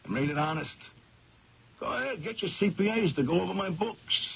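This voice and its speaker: An actor playing a reformed ex-convict gangster in a radio drama, with rough, ungrammatical, earnest streetwise speech and a husky, raspy voice. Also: male voice, sits high, lively intonation, a little fast, heavily acted. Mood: insistent. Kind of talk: 1970s radio drama acting. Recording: dull lo-fi 1970s radio broadcast.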